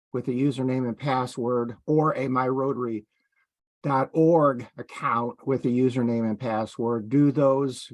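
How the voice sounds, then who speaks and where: A man speaking as a club district leader, giving informal, somewhat halting welcome remarks. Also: medium pitch, dry recording. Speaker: Rotary district governor opening a training session.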